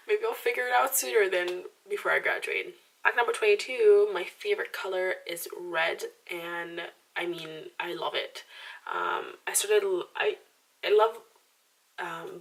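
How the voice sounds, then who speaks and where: A woman's voice: lowish voice, creaky, a bit bright, distant mic, low-quality recording. A woman in her twenties with a slight Romanian accent, chatting to camera in a rambling, self-deprecating way full of "like" and restarts. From Romanian background. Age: 20s. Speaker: vlogger. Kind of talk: casual chatty monologue to camera.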